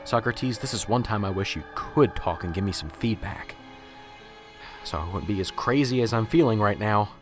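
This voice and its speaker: A man's voice: on the low side, expressive, moderate pace, faint music behind. A man playing a nervous, unsure professor in an amateur radio drama, muttering anxiously to himself and stammering.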